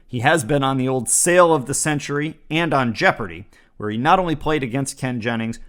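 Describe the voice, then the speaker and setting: A man's voice: mid-range voice, fairly bright tone. A man hosting a comedy show, reading scripted parody news in a dry, mock-serious newscaster manner. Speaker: comedy podcast host.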